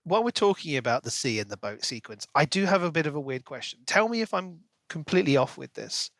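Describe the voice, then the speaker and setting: A man's voice: fairly high pitch, very animated, quick pace, gravelly, a bit bright, no echo. A British man co-hosting a podcast, casually discussing a book in an analytical, questioning way. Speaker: podcast co-host.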